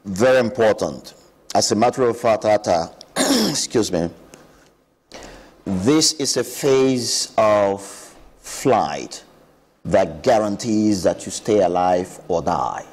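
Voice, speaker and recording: A man's voice: on the low side, lively intonation, slow pace, a little mellow, long reverb tail. A man, an aviation expert explaining earnestly on a TV show, often repeating words. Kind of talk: explanatory TV interview.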